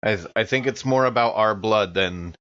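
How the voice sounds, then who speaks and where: Tabletop game role: male player as sober, practical man, low-pitched, matter-of-fact, on slightly muffled audio. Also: normal speed, fairly bright tone, natural voice. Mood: practical, probing.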